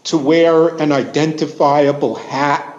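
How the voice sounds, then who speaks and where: A man's voice: on the high side, steady tone, slow, darkish tone. A man on a panel, asking questions and adding tips in a hesitant way with "uh" and "um". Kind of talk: panel discussion. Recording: dull, roomy conference recording.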